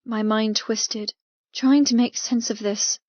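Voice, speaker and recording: A woman narrating first-person fiction as an audiobook, in a measured, dramatic manner. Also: fairly high pitch, fairly even intonation, darkish tone, no room sound, muffled recording.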